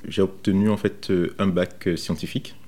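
A man in his thirties from Benin recounts his career informally, speaking in a halting way with frequent repetitions. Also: low voice, fairly even intonation, darkish tone. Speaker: software developer interviewed about his career. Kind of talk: informal first-person career account.

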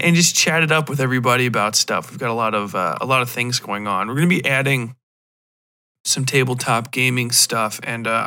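Bright-voiced man rambling as podcast host. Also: medium pitch. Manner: rambling, self-deprecating, digressive. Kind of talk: casual rambling solo podcast talk.

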